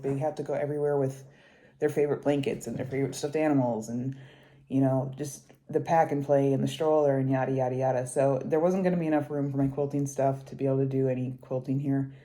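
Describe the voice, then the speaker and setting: Congested young woman chatting casually. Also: deep voice. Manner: rambling, conversational, hushed while kids nap. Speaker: cross-stitch vlogger. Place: kitchen table of a rental house.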